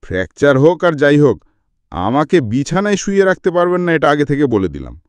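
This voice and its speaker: A man reading a self-assured, unflappable male detective in a story, with brisk, firm, questioning delivery. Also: on the high side, big pitch swings, a little mellow, smooth, no room sound. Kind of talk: audiobook character dialogue.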